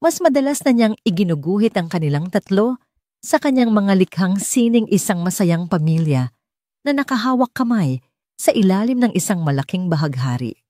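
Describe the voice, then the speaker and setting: A woman's voice: medium pitch, animated, normal speed, warm tone, dry recording, studio quality. A woman with a Filipino accent reading a scripted romance story as an audiobook narrator.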